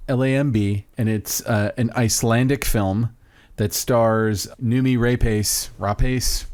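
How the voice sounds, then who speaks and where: A man's voice: mid-range voice, steady tone, a little slow, darkish tone, dry recording. A man co-hosting a podcast, talking enthusiastically and rambling about a film he loves. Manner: enthusiastic, rambling, self-interrupting. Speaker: podcast co-host. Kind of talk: casual podcast chat.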